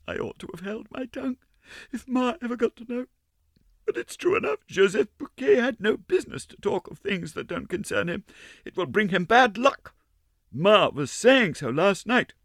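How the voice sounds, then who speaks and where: A man's voice: sing-song, slow, breathy edge, no echo, clean studio sound, full character voice. A man voicing a frightened young ballet girl in a storybook reading, tearful and breathless. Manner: tearful, breathless, high-pitched.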